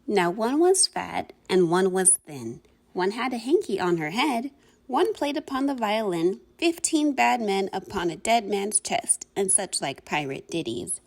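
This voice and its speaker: A woman giving an animated, expressive read-aloud of a rhyming children's picture book. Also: medium pitch, a little slow. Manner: theatrical, expressive. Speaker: storybook reader.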